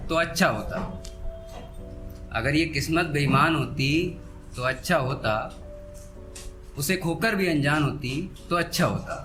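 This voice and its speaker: A man with a slightly high voice recites poetry as a stage performer. He repeats his couplets and sets them apart with deliberate pauses. Instrumental music plays clearly behind him. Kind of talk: live Hindi-Urdu poetry recital with spoken asides. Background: soft instrumental background music.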